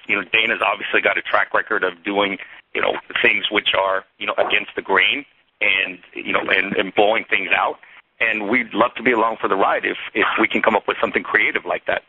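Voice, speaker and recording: A man giving careful corporate answers to the press as an executive, in a measured way. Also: lowish voice, steady tone, slightly rough, dry recording. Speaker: television sports executive. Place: conference call over phone. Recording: dry, narrow telephone line.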